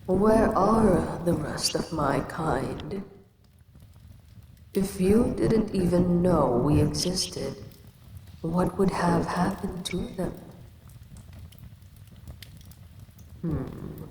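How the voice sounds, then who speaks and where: Cast: a woman as a calm, imposing kaiju queen, lordly and unhurried, lowish voice with long hall reverb. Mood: probing. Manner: slow, measured, lordly speech. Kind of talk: audio roleplay. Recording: echoey reverb with ambient noise.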